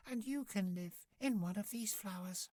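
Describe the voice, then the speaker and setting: A man voicing a small, kindly swallow in a children's storybook, with a thin, breathy falsetto and a soft, careful delivery. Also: normal speed, no room sound, clean studio sound. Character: small gentle swallow, kindly helpful bird.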